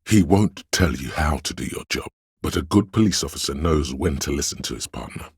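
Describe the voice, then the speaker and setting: A gossiping policeman from a video game, voiced by a male narrator, wry and casual. His voice is extremely low and thick with gravel. He talks with a sardonic edge, close and dry. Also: animated, a little fast, dry recording, full character voice. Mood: nudging. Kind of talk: dramatic game dialogue.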